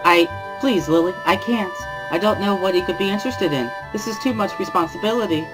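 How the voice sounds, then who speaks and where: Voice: medium pitch, brisk, over music, pitched above the performer's own voice, lightly acted, poor audio. A performer voicing a jittery, over-apologetic young woman librarian in a dramatic reading, flustered and rambling. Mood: anxious.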